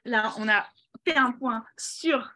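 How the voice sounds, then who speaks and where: A woman's voice: on the high side, slow pace. Encouraging woman hosting an online ceremony. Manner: warm, encouraging, with fillers. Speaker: ceremony host. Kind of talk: presenting a prize ceremony. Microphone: video call.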